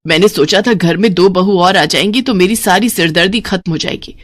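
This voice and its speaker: A woman voicing an older, weary mother-in-law who manages her household and scolds, in an animated story. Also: fairly low pitch, brisk, natural voice. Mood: exasperated. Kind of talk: animated moral story character voice.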